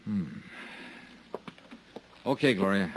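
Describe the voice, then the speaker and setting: A man's voice: on the low side, takes it slowly, background noise. An actor playing a terse, hard-boiled insurance investigator who narrates his own case in a 1950s radio drama.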